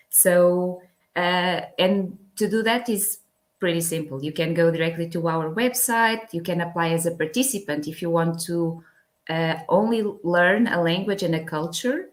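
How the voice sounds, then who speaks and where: Slow, low-voiced Portuguese woman. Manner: hesitant, many fillers, laughs lightly. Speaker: guest from a language exchange project. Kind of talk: casual interview chat.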